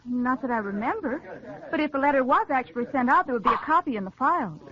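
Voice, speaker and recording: A woman's voice: sits high, expressive, fast, a crowd in the background. A woman playing a polite, capable young college student in a 1940s radio drama. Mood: puzzled. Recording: muffled 1940s radio, faint noise.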